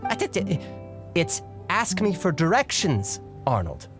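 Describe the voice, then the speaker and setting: A flustered male raven from a video game, with pitch that lurches wildly up and down. He stammers, fawns and flirts in overblown courtly language over background music. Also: huge pitch swings, measured pace. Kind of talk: theatrical video game character acting.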